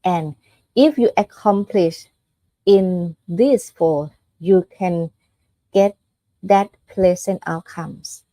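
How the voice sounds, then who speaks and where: A woman's voice: on the low side, drawn-out words, warm tone, no echo. A woman from Thailand with a Thai accent giving an informal dharma talk as a Buddhist nun, explaining step by step in a conversational way with check-in questions.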